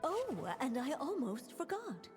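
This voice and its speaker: A woman voicing a gentle, solemn witch who narrates a fairy tale in a game, in a tender storytelling manner. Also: high voice, huge pitch swings, unhurried, big-room sound, over music, exaggerated voice.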